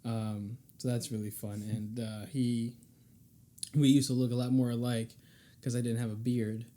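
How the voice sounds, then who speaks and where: A man's voice: medium pitch, little pitch movement, normal speed, warm tone. A young man hosting a podcast, asking questions in a playful, jokey way. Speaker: podcast host. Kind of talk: casual interview chat.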